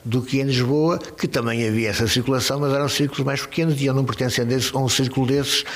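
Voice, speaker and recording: A man's voice: mid-range voice, fairly even intonation, fast talker. A man in his sixties from Braga with a northern Portuguese accent, speaking reflectively in a podcast interview, often restarting his sentences. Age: 60s. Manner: reflective, searching for words with many restarts. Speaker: guest filmmaker.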